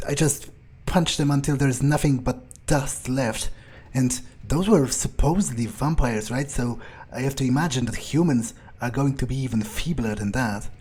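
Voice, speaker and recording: A man's voice: mid-range voice, moderate pace, hardly any pauses, darkish tone, husky, natural voice. A man voicing a cocky, bloodthirsty newly turned vampire, thinking aloud in a profane, musing way during a video game roleplay. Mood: cocky, curious. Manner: musing, arrogant, profane, hesitant pondering. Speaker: player character in a roleplay playthrough. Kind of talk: in-character video game playthrough commentary.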